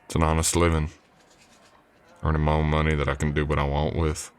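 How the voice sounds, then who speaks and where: A male actor voices a drifter cowboy hired on as a ranch hand in an old west audio roleplay. His voice is low and soft, and he talks straight to the listener in a folksy, courteous way. He comes across as easygoing and a little unsure of his manners. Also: monotone, fairly quick, smooth. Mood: earnest, content. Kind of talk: ASMR roleplay acting, addressing the listener.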